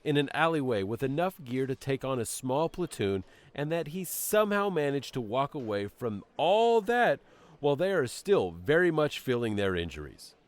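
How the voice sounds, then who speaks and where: Man playing a stern, sardonic police commissioner, very animated. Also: medium pitch, normal speed, natural voice. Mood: defensive, exasperated. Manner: sardonic, authoritative, clipped formal phrasing. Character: stern, bureaucratic male police commissioner, condescending to his former partner. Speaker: voice actor in an audio drama. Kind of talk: dramatic audio drama acting.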